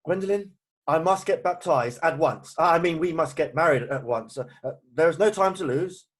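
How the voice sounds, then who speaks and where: An ardent young gentleman suitor acted by a man, with a fairly high voice and a nervous, stammering delivery. He sounds like a stage comedy lover in a read-through on a video call. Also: normal speed, natural voice. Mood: ardent, flustered. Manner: hesitant, stammering. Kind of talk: amateur play read-through.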